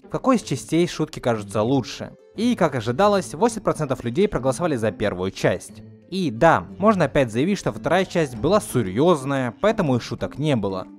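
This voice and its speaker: A young man delivers an opinionated video essay with lively, swooping intonation. He argues his points emphatically, at a middle pitch, with light music underneath. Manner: argumentative, emphatic. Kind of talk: opinionated film critique narration. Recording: music behind the voice. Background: faint background music.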